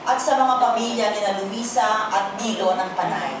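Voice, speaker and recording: A woman with a Filipino accent gives a solemn, dramatic poetry recitation, deliberate and theatrical, with pauses. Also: mid-range voice. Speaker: poetry reader at a human rights gathering. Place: indoor auditorium event. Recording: very echoey auditorium, distant microphone. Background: plucked guitar accompaniment.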